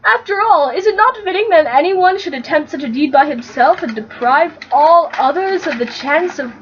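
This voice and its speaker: A woman's voice: high-pitched, lively intonation, moderate pace, highs cut off. A woman voicing a pompous, grandiose young male hobbit adventurer in a theatrical, declaiming way for a storybook reading. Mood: lofty.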